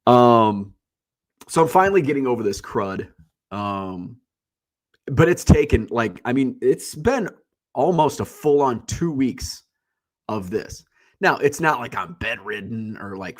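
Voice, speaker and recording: A man's voice: mid-range voice, animated, slow. Congested male stream host, loose rambling delivery, blunt and profane, mid-range voice. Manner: blunt, rambling, swears freely. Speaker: livestream host. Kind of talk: casual solo chat.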